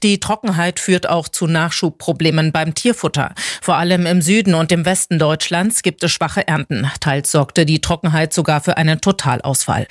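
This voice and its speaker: A woman's voice: low-pitched, no echo, clean studio sound. A woman correspondent delivering a factual radio news report.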